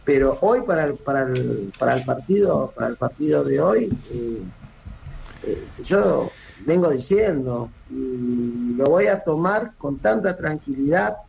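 Man, middle-aged, from Cape Verde, gravelly, hesitant with many restarts, phone guest. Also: medium pitch, animated, measured pace, darkish tone, slight background noise, low-quality recording. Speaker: former footballer, phone guest. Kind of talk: casual radio interview. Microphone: phone call.

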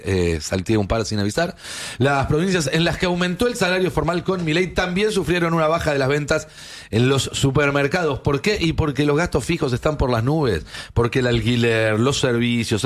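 Male Argentine political host, mid-pitched, emphatic commentary that leans on rhetorical questions. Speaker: political news show host. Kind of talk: opinionated news commentary.

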